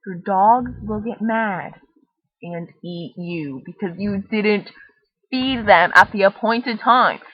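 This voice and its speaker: A woman with a slightly husky voice casually reading a picture book aloud, rambling into playful, mock-dramatic chatty asides on a laptop microphone. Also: medium pitch. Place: at home, close to a laptop on a bed. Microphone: laptop webcam microphone. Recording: muffled lo-fi laptop webcam audio.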